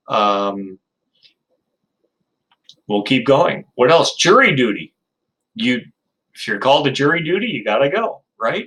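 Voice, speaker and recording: A man's voice: mid-range voice, fairly bright tone, poor audio. A man in his fifties giving an informal lecture, chatty, with self-deprecating asides and frequent ums. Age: 50s.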